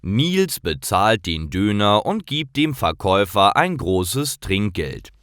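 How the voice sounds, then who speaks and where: A man narrating a simple story for language learners in a deliberate, carefully enunciated scripted style. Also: fairly low pitch, very animated, a bit bright, clean tone, no room sound, studio quality. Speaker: narrator of German listening lessons for learners. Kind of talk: slow, clear scripted story narration for beginners.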